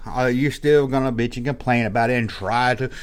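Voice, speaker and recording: A man hosting a toy collecting channel, ranting bluntly in a casual, exasperated way, his voice hoarse from being sick. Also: medium pitch, a little fast. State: hoarse, losing his voice after illness. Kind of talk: casual opinion rant to camera.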